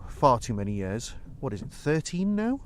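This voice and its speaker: A man's voice: mid-range voice, sing-song, moderate pace, a little hiss or hum. A man hosting a solo podcast in a casual, rambling way, with wry, self-deprecating asides. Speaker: podcast host and reviewer.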